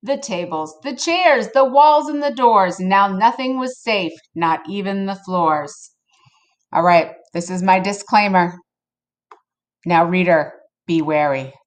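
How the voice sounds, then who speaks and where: Slow-paced woman reading a children's storybook aloud. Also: mid-range voice. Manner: expressive, playful, addresses listeners directly. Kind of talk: read-aloud storytelling with casual asides to young listeners.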